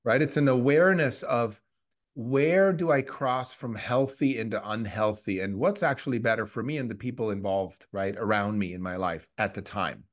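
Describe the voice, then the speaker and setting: A man in his fifties from Switzerland, co-hosting a podcast in a reflective, halting way with many restarts. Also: medium pitch. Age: 50s. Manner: reflective, restarts often, lots of fillers. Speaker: podcast co-host. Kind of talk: casual conversational podcast.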